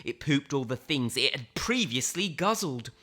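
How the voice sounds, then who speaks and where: A woman reading a children's picture book aloud in a theatrical way, with dramatic emphasis and suspenseful pauses. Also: extremely low pitch, big pitch swings, fairly bright tone, husky. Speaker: storybook reader.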